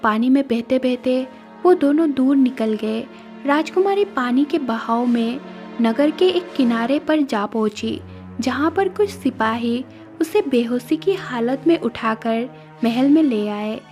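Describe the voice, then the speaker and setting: A woman's voice: high-pitched, animated, measured pace, darkish tone. A woman giving scripted narration as the storyteller of a cartoon moral story. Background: faint music.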